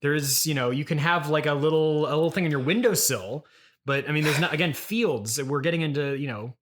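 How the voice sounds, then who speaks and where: An American man hosting a casual comedy interview, asking skeptical, deadpan follow-up questions. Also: fairly high pitch, fairly quick. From Skokie, Illinois, United States. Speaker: podcast host, interviewer.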